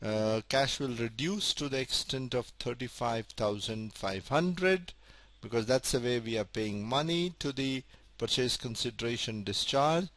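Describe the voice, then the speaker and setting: A man giving a recorded accounting lecture, methodical and slow to stress key points, often repeating them. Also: mid-range voice, animated, fairly bright tone, no room sound.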